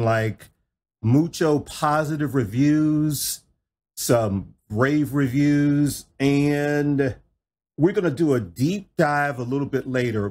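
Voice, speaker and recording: A man's voice: mid-range voice, darkish tone. A middle-aged man hosting a podcast interview, exuberant and folksy, repeating himself and calling his listeners y'all. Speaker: podcast host. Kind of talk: enthusiastic interview chat.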